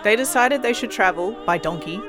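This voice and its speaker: A woman's voice: medium pitch, lively intonation, brisk. A woman co-hosting, telling a playful comic story and chatting about music, laughing often. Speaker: podcast co-host.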